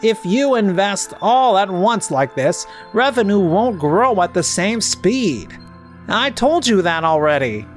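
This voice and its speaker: A woman's voice: medium pitch, very animated, unhurried, faint music behind. A woman playing a stern female tax advisor in a comic dub, with a firm, reproachful manner. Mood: stern scolding. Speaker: tax advisor and management consultant. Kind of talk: anime-style comic dub acting.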